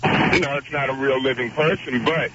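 Deep voiced guy calling into a radio show, earnest, talks a lot, dull sound w/ steady hiss. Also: moderate pace. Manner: earnest, wordy, interrupts with repeated "wait a minute". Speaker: caller. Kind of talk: radio call-in chat.

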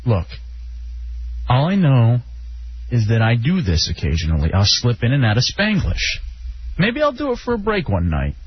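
A man's voice: medium pitch, moderate pace, pauses often, a little hiss or hum. A man from Texas hosting a call-in radio show, joking with his co-host and callers and laughing often. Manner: jokey, animated, laughs often. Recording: slightly muffled radio broadcast.

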